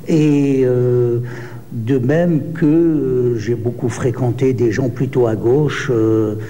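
A man in his seventies, born in Algeria, answering interview questions slowly with many hesitations. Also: medium pitch, steady tone, mellow, in a large hall. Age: 70s. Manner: slow, hesitant, many pauses and fillers. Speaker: poet.

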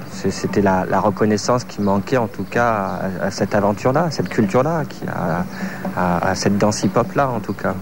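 A man in his thirties, a choreographer from France, answering interview questions in a halting way, searching for words with many fillers. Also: on the low side, noisy background. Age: 30s.

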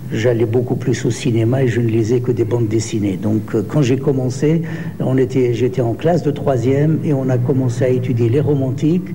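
An older man in his seventies, from Algeria, speaks slowly in a very dark, mellow voice, pausing and hesitating often as he answers interview questions. A long reverb hangs on the recording, with faint noise behind him. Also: mid-range voice. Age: 70s. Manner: slow, hesitant, many pauses and fillers. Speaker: poet.

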